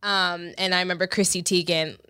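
A woman's voice: on the low side, little pitch movement, a little fast, bright, forceful tone, smooth, no room sound, studio quality. A woman co-hosting a casual chat podcast, stuffy and congested from allergies, rambling with lots of fillers. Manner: chatty, rambling with many fillers.

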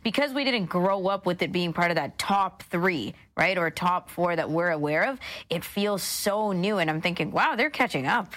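Female radio co-host, Canadian accent, slightly low pitch, casual conversational delivery. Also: lively intonation, normal speed, hardly any pauses, fairly bright tone.

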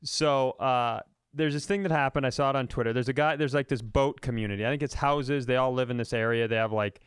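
A man's voice: medium pitch, fairly even intonation, fairly quick, smooth, no room sound, clean studio sound. An American man co-hosting a comedy podcast, ranting casually in a blunt, profane, mocking way.

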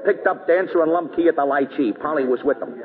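An actor playing a brusque, tough police lieutenant in an old radio drama, blunt and slangy. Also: male voice, fairly high pitch, fast talker, phone-quality sound, close to an everyday voice. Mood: brisk, eager. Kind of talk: 1930s-40s radio drama acting. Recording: reverberant, thin old radio broadcast.

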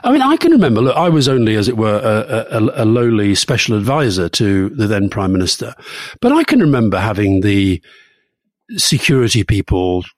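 A man's voice: on the low side, big pitch swings, normal speed, dry recording, studio quality. A man co-hosting a political podcast, talking casually and often repeating words as he starts a sentence. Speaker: podcast co-host.

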